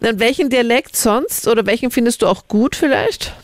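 A woman's voice: fairly high pitch, normal speed, no echo. A woman from Linz, Austria, co-hosting a radio call-in show and chatting animatedly. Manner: chatty, animated storytelling with laughs. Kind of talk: casual radio chat. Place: radio studio. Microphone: studio microphone.

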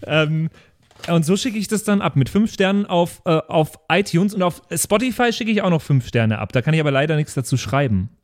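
A man's voice: on the high side, lively intonation, brisk. A man who is a podcast player, chatting casually in a joking promotional bit. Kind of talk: casual comic promo chat.

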